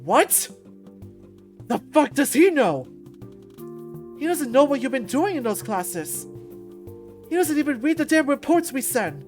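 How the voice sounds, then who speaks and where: A woman's voice: sits high, very animated, measured pace, pauses often, faint music behind, pitched above the performer's own voice. A woman voicing a furious young fighter. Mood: angry. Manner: shouting, swearing, strained.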